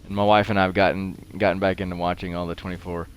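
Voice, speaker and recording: A man's voice: deep voice, little pitch movement, fast, slight background noise, rough recording. A man giving casual let's-play commentary as a game streamer, muttering offhand to himself.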